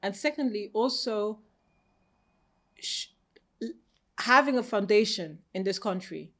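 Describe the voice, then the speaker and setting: Austrian-raised shop owner, woman, thirties, calm reflective interviewee. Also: medium pitch, slow pace. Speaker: boutique owner, guest. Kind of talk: interview answers. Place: her boutique, indoors.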